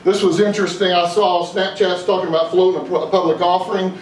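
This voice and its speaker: A man's voice: high voice, level tone, fast talker. A man from East Texas with a Texan accent, giving a live investment seminar and throwing rhetorical questions at the room in a folksy way. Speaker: investment speaker. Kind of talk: live seminar talk to an audience. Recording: roomy, distant, faint noise.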